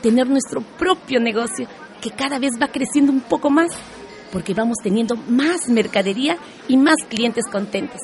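A woman's voice: fairly high pitch, normal speed, darkish tone, faint music behind, natural voice. An actress with a Peruvian accent playing a proud, industrious middle-aged mother and shopkeeper in a radio soap. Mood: proud, happy. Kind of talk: educational radio soap acting.

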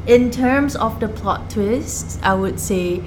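A woman in a casual book discussion, explaining her views in a measured, reflective way. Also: on the high side. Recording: clear background noise, room ambience.

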